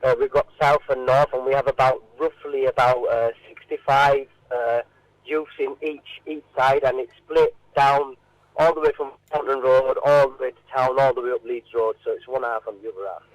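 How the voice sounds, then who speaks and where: A man answering informally in a radio interview, halting and often repeating his words. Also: mid-range voice, flat delivery, no room sound, low-quality recording.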